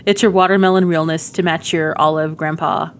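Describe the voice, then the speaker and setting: Lady middle aged, kinda low voice, rambly cutesy gamer chat, bit of rumble behind it. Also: steady tone, a little fast. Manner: rambling, giggly asides, cutesy slang. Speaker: gaming video creator. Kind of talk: casual, chatty game demo commentary.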